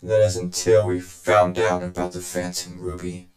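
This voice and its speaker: A man's voice: sits low, flat delivery, normal speed, darkish tone, close to an everyday voice. A man playing a cold, arrogant villain in a game cutscene, speaking with condescending menace. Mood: boastful explaining. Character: cold, arrogant male villain, scornful and self-assured. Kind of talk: fan game cutscene voice acting. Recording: echoey voice over game music.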